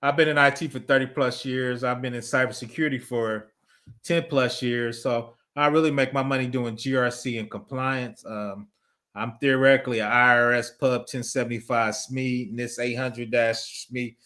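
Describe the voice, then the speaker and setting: A man's voice: mid-range voice, steady tone, normal speed, sharp tone. A man, chatting casually on a livestream, reading viewer messages, laughing often and rambling through asides. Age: 50s. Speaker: livestream host reading super chats.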